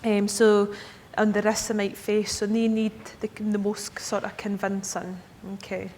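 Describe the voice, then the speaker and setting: A woman in her thirties with a Scottish accent gives a talk at a conference, chatty and relaxed, regularly checking in with the room. Her voice sits at a middle pitch and carries a long reverb, with a faint low rumble underneath. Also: unhurried. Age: 30s. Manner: chatty, checks in with the audience with "okay?". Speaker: key account manager presenting to advisers. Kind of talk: conference presentation. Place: conference hall. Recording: echoey hall with faint rumble.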